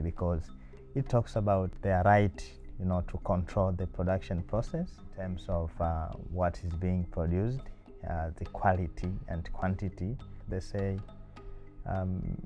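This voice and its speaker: A man in his thirties from Tanzania, a university lecturer speaking in a seated interview, thoughtful with frequent pauses and fillers. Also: deep voice, dark tone. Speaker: university lecturer and PhD candidate. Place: small indoor room.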